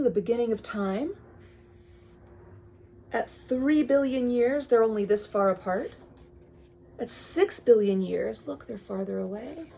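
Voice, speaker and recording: A woman reading aloud to a child with chatty asides, expressive and full of wonder, often dropping to a whisper. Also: on the high side, creaky, husky.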